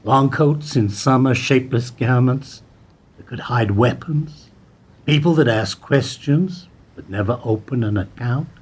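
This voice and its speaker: An actor playing an observant, low-ranking bank employee in a radio play, speaking in a measured, pointed, insinuating way. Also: male voice, a little mellow, slightly rough. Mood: knowing, insinuating.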